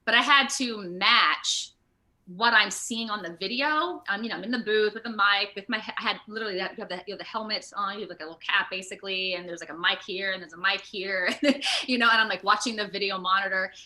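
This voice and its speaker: An American woman chatting casually as an interview guest, telling stories in an animated way. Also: mid-range voice, quick pace, poor audio. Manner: animated storytelling, laughs often, acts out moments. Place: remote video call.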